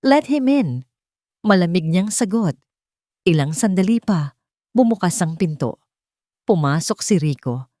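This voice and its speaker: Low-voiced Filipino woman telling a dramatic story. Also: animated, measured pace, pauses often, dark tone, dry recording, studio quality. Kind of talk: scripted dramatic narration of a love story.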